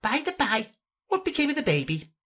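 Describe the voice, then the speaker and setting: A woman's voice: on the high side, big pitch swings, brisk, fairly bright tone, voice pushed up. A woman voicing a sly, breezy, grinning mad cat from a children's storybook, teasing and emphatic in manner. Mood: airily curious. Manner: teasing, emphatic, playfully argumentative.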